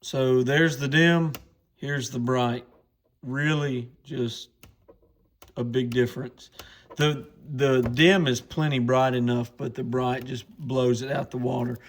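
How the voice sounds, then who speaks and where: A man's voice: medium pitch, steady tone, takes it slowly. A man hosting a casual product walkthrough, talking in a plainspoken, informal way. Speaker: radio channel host.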